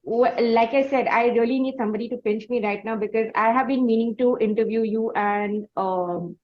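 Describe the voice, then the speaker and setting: A woman's voice: a little high, fairly even intonation, moderate pace, highs cut off. A woman with an Indian accent hosting an interview, speaking in a wordy, effusive conversational style. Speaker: interviewer, host.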